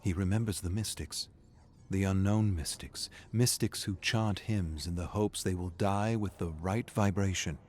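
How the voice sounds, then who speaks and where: A man's voice: low voice, unhurried, darkish tone. A man narrating a scripted horror story in a dramatic style, with noise behind him.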